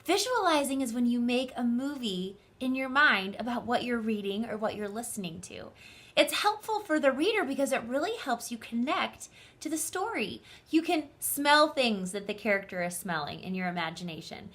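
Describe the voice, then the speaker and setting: A woman with a bright, forceful voice that sits a little high. She speaks like a teacher reading to children, expressive and instructive, with careful enunciation. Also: moderate pace. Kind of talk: children's story read-aloud, instructive.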